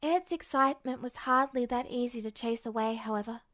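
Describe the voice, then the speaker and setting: High-voiced woman reading a story. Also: brisk, fairly bright tone, no room sound, studio quality. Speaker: fan fiction narrator. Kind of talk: scripted story narration.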